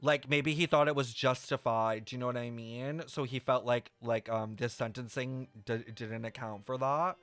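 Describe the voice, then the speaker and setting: A young man giving casual reaction commentary on a game, expressive and slangy, thinking aloud. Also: medium pitch, moderate pace, hardly any pauses, no room sound, faint music behind.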